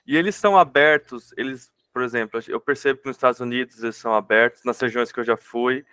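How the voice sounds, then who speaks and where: A man's voice: medium pitch, fast, sharp tone, smooth, no room sound. A man from Brazil with a Brazilian Portuguese accent, hosting a podcast interview in an informal, joking way with colloquial asides. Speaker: podcast host. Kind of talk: casual interview conversation.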